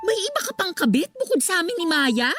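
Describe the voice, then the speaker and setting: A woman's voice: very high voice, sing-song, fast talker, a bit bright, soft background music, full character voice. A woman acting as an indignant, sarcastic and demanding mistress in a Filipino radio drama.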